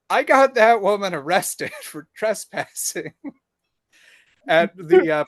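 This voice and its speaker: A man's voice: a little high, a bit bright, no echo, clean studio sound. A man co-hosting a podcast in casual conversation, blunt and profane, telling stories with a rambling flow. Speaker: podcast co-host.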